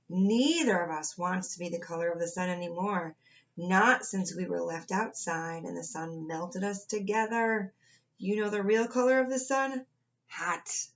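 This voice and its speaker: A woman's voice: medium pitch, lightly acted. Lady doing two fed up melted crayons from a picture book, blunt, muffled audio. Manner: blunt, exasperated.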